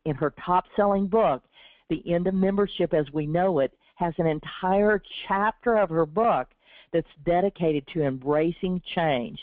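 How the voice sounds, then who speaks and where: A woman with a sore throat and a slightly raspy voice hosts a webinar. She reads from a prepared script in an earnest, encouraging tone. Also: fairly low pitch, no echo. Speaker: webinar host from a business development team. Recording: tinny, dry phone-line audio.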